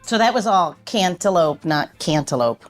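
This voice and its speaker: A woman's voice: fairly low pitch, animated, normal speed, faint music behind. Playful woman hosting a podcast. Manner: playful, joking. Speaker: podcast host. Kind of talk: conversational show hosting.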